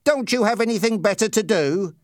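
A man's voice: very high voice, sing-song, measured pace, no room sound, studio quality, voice pushed up, heavily acted. A man voicing a suspicious, sneering boy bully who needles and accuses in an audiobook story.

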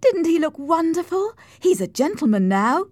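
A woman voicing a headstrong, passionate young married gentlewoman in an audiobook, excitable and emphatic. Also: on the low side, slow pace, no room sound, heavily acted. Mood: excited, defiant. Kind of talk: audiobook character voice.

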